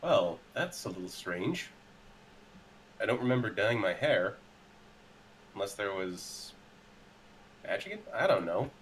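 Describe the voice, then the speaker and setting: A man's voice: fairly low pitch, very animated, unhurried, pauses often. A man roleplaying casually in a tabletop game, hesitant and thinking aloud as he talks. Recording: clearly noisy background.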